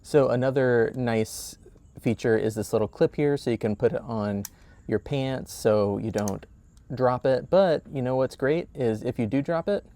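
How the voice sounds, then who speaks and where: A man's voice: mid-range voice, dark tone, a little hiss or hum. A man, sounding middle-aged, gives a casual product demonstration in a plain-spoken, explanatory way. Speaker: product reviewer.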